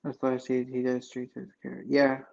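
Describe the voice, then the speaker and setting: A man's voice: mid-range voice, monotone, fast talker, gravelly, darkish tone. A young man in his twenties hosting a live chat, talking in a loose, rambling way full of fillers and restarts. His voice stays level, with little rise and fall. The audio sounds dull and lo-fi. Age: 20s. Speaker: livestream host chatting with viewers.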